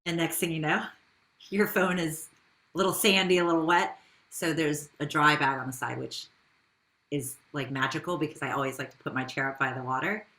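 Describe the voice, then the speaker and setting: A woman's voice: low-pitched, fairly even intonation, fairly quick, a bit bright. A woman speaking as a business founder in an interview, telling her story in a conversational way with rhetorical questions.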